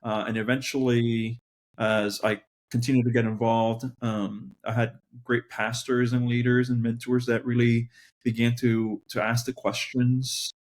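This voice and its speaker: A man's voice: medium pitch, flat delivery, a little slow. A man with a mid-range voice talks through his own life story as a pastor guest on a podcast. He is reflective and conversational, often stopping and restarting as he looks for the right words. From Colombia and New Jersey. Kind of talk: conversational personal testimony.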